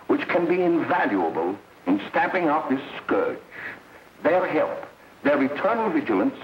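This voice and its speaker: An actor playing a stern, alarmist doctor giving a grave, formal lecture in a 1930s propaganda film. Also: male voice, fairly high pitch, reverberant. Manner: grave, emphatic, formal, melodramatic. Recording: scratchy, muffled 1930s film soundtrack.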